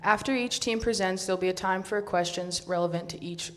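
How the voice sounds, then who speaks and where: Young female emcee, mid pitch, scripted announcing. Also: brisk. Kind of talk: announcing presenters.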